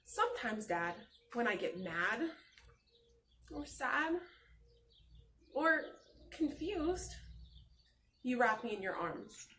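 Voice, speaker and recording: A woman acts out a curious young son in a storybook. The voice is high and swings widely in pitch, full of affection and excitement. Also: takes it slowly, pauses often, a little hiss or hum, exaggerated voice. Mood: earnest, wondering. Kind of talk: picture-book read-aloud.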